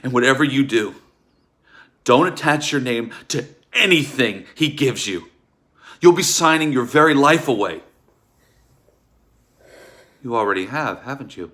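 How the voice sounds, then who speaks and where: An actor playing a bitter, wronged husband in a theatrical table read, speaking in an accusatory, ominous and emphatic way. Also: male voice, medium pitch, a bit bright. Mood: urgent warning. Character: bitter, wronged husband warning about a sinister doctor.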